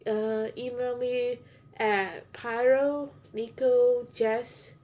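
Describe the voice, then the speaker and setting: A woman's voice: fairly high pitch, steady tone, very slow, dark tone, muffled recording. A young woman hosting a casual podcast, chatting in a rambling way with many restarts while she has a runny nose and sniffles. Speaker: podcast host reviewing anime. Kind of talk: casual chat.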